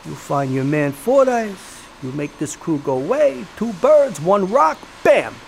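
A man's voice: high voice, very animated, measured pace, vocal fry, darkish tone, a little hiss or hum, exaggerated voice. A man playing a sly, menacing American crime boss in a period gangster audio drama, full of streetwise slang and theatrical glee. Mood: scheming.

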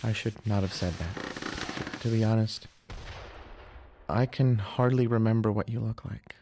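An actor playing an earnest, formal young officer and devoted husband in an audio drama. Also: male voice, fairly low pitch, fairly even intonation, measured pace, a little mellow, slight background noise. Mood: tender.

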